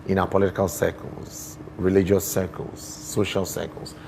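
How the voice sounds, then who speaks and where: Deep-voiced Ghanaian man, expressive and teacherly. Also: normal speed, creaky, slight background noise, rough recording. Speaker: guest poet and storyteller. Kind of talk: explanatory TV interview with rhetorical questions.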